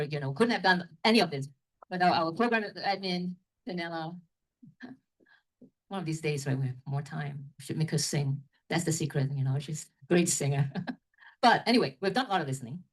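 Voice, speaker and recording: A woman moderating an academic event's discussion, rambling and often checking in with "right?". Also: deep voice. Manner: rambling, tags remarks with "right?".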